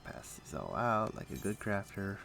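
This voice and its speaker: A man's voice: on the low side, very animated, moderate pace, vocal fry, fairly bright tone. A man chatting casually as he streams a game, rambling and thinking aloud. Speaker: livestream host. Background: music.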